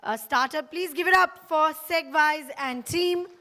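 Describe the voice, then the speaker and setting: A woman's voice: high-pitched, measured pace, bright, forceful tone. A woman acting as event emcee who introduces the speakers with upbeat announcements. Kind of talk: stage announcing. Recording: hall reverb with faint music.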